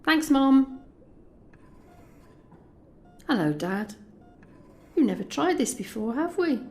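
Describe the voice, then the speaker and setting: A woman's voice: high voice, big pitch swings, slow, darkish tone, soft background music, full character voice. A woman playing an anxious but determined young escort in an intimate, conversational audio drama monologue. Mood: tender, nervous. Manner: confiding, conversational.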